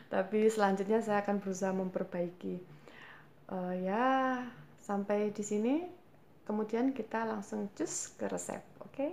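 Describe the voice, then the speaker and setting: A woman's voice: medium pitch, warm tone. A woman with a Javanese accent hosting a home baking tutorial, answering viewer questions patiently with frequent hesitations. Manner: patient, explanatory, frequent hesitation fillers, addresses viewers as mothers. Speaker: cooking channel host.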